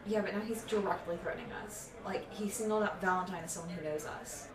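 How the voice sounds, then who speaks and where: Audio drama: brainy young female, played by a woman, rapid rambling delivery, roomy distant sound with crowd murmur. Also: fairly low pitch, natural voice. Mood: uneasy. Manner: rambling, reasoning aloud. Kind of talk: naturalistic audio drama acting.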